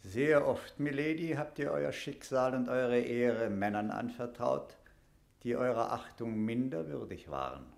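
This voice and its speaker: A stern, suspicious knight and prison keeper in a classical radio drama. He declaims solemn verse in a middle-aged man's voice of medium pitch. The room around him rings with echo. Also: lively intonation, unhurried, creaky. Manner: solemn, declamatory stage diction.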